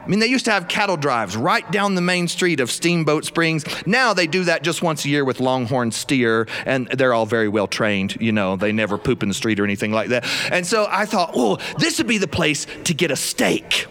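A man's voice: on the high side, bright, forceful tone. A man from South Carolina with a Southern US accent, telling humorous personal stories live in a folksy, theatrical way. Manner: folksy, theatrical, acts out dialogue. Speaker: storyteller. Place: recording studio, live performance.

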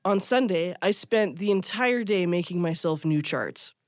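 A woman's voice: lowish voice, expressive, a little slow, no room sound, clean studio sound. A woman recounting her own experience in a candid, self-deprecating way, with plenty of likes and you-knows. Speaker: guitarist interviewee. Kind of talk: casual recounting.

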